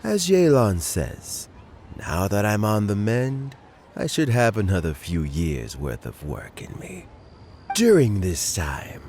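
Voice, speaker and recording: A benevolent old statesman in a video game, with a low voice that rises and falls widely. He gives counsel deliberately and sagely, taking his time over each phrase. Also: a little mellow, full character voice. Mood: content, cordial. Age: older. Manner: measured, deliberate, sage-like. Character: elderly, measured, benevolent male civic elder giving counsel. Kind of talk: scripted video game character dialogue.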